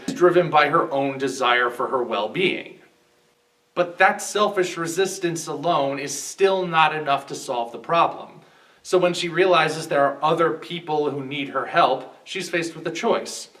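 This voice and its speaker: A man's voice: a little high, fairly bright tone, distant mic, poor audio. A man giving scripted analytical commentary as a guest film reviewer.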